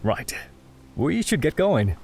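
An actor playing a kind, earnest young adventurer who reassures others in an audio drama. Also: male voice, fairly high pitch, sing-song, slow pace, gravelly, darkish tone, a little hiss or hum, full character voice. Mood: reassuring.

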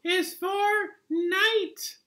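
Very high, slow zebra puppet. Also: male voice, fairly even intonation, pushed and bright, exaggerated voice. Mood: patient, deliberate. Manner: slow, deliberate. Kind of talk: slow children's puppet recital.

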